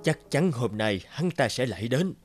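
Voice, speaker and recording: A man's voice: mid-range voice, big pitch swings, moderate pace, natural voice. Man voicing a plaintive old peasant mother. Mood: resentful. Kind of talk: cartoon fairy-tale dubbing. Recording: loud music behind the voice.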